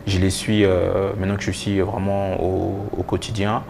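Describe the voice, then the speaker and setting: A young man answers as a studio interview guest in a very deep, flat voice. He speaks haltingly, repeating words as he goes. There is some gravelly fry in his tone and a faint noisy rumble behind him. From DR Congo and Belgium. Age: young adult. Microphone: lapel mic.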